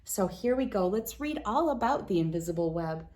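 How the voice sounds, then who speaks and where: Woman, read-aloud host, mid-pitched voice, children's picture book narration, expressive and deliberate phrasing.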